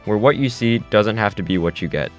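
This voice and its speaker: Casting: male actor as low-voiced tech pitchman for an audio drama, slick, salesmanlike, walking customers through a product. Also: expressive, fairly quick, faint music behind, lightly acted. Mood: polished sales pitch. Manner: salesmanlike, rhetorical, instructive. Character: slick male tech company head engineer pitching a gadget. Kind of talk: scripted infomercial presentation.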